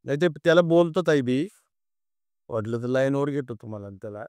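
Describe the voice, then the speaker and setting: A man with a smooth, clear voice talks on a recorded phone call with a dry sound. He mediates informally, placating the other person and repeating himself without committing to either side. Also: medium pitch, animated, fairly quick, darkish tone, no echo. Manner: placating, noncommittal, repetitive. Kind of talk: informal private phone conversation.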